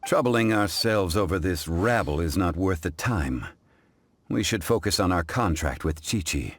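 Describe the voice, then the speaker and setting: A man playing a composed, scholarly gentleman in a video game, speaking formally and courteously in measured phrases. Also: sits low, animated, husky, heavily acted. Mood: stern composure. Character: composed, scholarly, dignified gentleman of ancient wisdom. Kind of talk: English game voice acting. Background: background music.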